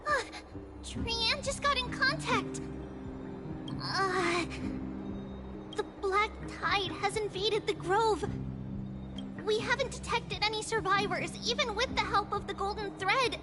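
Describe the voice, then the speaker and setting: An actress playing a gentle, anxious young girl aide in a game, speaking haltingly. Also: extremely high pitch. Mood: worried. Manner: hesitant, soft-hearted, halting. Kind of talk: scripted game story dialogue. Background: game music.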